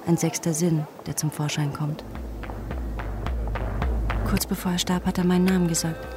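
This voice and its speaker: A woman's voice: low-pitched, steady tone, moderate pace, background noise, natural voice. An actress playing an anguished woman waiting for news of her man in a literary radio drama, speaking in short, stark phrases. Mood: reflective, bleak.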